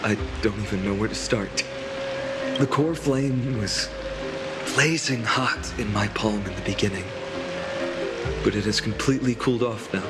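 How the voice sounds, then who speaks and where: A man voicing a restless, self-doubting young warrior lord in a video game, speaking in a soft, reflective manner. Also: on the low side, measured pace, pauses often, natural voice. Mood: uncertain. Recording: loud music under the voice. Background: loud background music.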